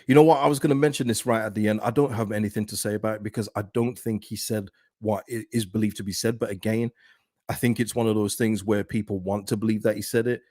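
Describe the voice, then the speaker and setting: Man, deep soothing voice, football fan channel host, casual livestream chat, conversational, rambling delivery, dry close sound. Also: fairly even intonation, fast, darkish tone, no room sound. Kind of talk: casual livestream chat, reading and answering viewer comments.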